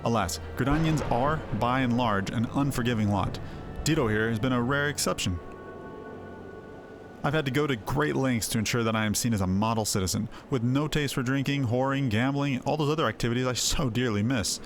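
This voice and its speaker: Guy voicing a roguish ex-merc in a video game, jolly but threatening, theatrical, mid pitch, music playing. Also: a little fast, close to an everyday voice. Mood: wry, threatening. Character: roguish male former mercenary, jovial yet menacing.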